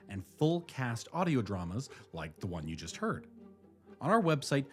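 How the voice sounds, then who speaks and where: Male host for scripted show outro, animated intonation, mid-pitched, light music underneath. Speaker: host, writer and director. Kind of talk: scripted outro narration, friendly address to listeners.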